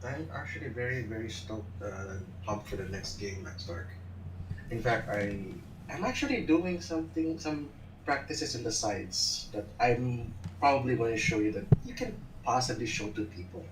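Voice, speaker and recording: Soft-spoken man over roomy voice chat. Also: medium pitch, lively intonation, normal speed, slight background noise. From Philippines. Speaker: artist guest. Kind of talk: casual chat over voice call. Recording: distant, roomy voice chat audio.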